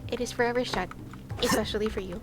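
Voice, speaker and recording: Playful woman livestreamer with a highish voice. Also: steady tone, fast talker, background noise. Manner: playful, teasing, exclaims in wonder. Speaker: game livestreamer. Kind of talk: casual livestream chat over gameplay. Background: game music.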